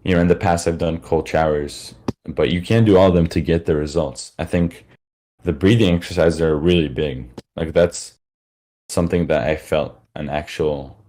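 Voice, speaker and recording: A man's voice: very deep voice, fairly even intonation, moderate pace, mellow. A man with a tired, worn-out voice giving a casual, rambling live lecture to listeners, with many fillers and restarts.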